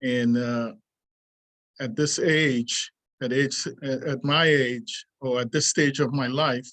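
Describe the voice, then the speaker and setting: An older man reads his own essay aloud. His voice sits at an ordinary middle pitch, and his delivery is earnest and deliberate, with pauses and lines picked up again. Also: fairly even intonation, a bit bright. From Trinidad. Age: 60s. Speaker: martial arts teacher. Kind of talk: reading a prepared essay aloud.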